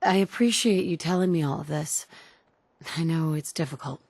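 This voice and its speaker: Game lead: calm female survivor, breathy low register, gentle, close dry recording. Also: normal speed, dry recording. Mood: gentle, frank. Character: calm, caring female wasteland survivor, player heroine. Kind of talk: video game dialogue acting.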